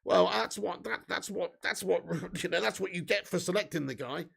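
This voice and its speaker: Footy fan streaming a watch-along, hoarse gravelly voice, swearing and yelling at chances. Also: male voice, sits high, normal speed. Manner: swearing, excitable, shouts at chances. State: losing his voice. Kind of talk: live fan commentary and chat reading.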